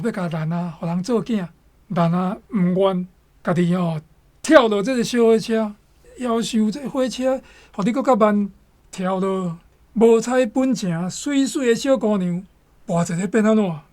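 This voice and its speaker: A woman's voice: mid-range voice, a little slow, a little mellow. A Taiwanese-accented woman with a mid-range voice comments on a story. She is emphatic, repeating and rewording her points. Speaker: guest commentator.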